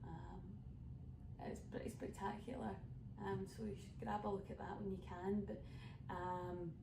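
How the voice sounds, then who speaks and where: A woman's voice: mid-range voice, fairly even intonation, measured pace, vocal fry. A woman with a Scottish accent from the west coast of Scotland, a folk singer chatting casually and rambling a little as she introduces her songs for an online showcase. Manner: chatty, rambling storyteller with many fillers. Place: home room streaming online. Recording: roomy, distant mic with background noise.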